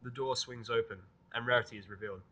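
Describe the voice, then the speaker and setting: A man reading a fan fiction story aloud in the first person, at an average pitch for a man. His narration is dry and a bit irritable. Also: fairly even intonation, quick pace, bright, forceful tone. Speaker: reader.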